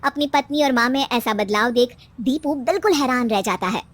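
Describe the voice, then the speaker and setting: A woman storyteller narrating a scripted animated bedtime story. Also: fairly high pitch, brisk.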